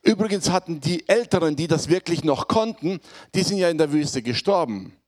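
A man preaching to his congregation, hoarse from a cold, speaking in a conversational way and often checking in with his listeners. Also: on the high side, expressive, normal speed, darkish tone. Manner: conversational, addresses congregation with tag questions. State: has a cold, voice affected. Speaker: pastor. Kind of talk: sermon.

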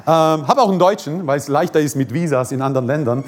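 A man's voice: fairly high pitch, fast talker. A man from Croatia, preaching a fervent, repetitive sermon and prayer with joking asides.

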